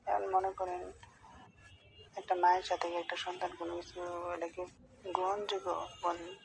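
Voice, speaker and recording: A middle-aged woman talks on a recorded internet call with faint noise behind her. Her delivery is fairly flat and gravelly, yet hesitant and pleading, and she circles back to repeat herself. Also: lowish voice, normal speed, low-quality recording. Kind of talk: private phone conversation.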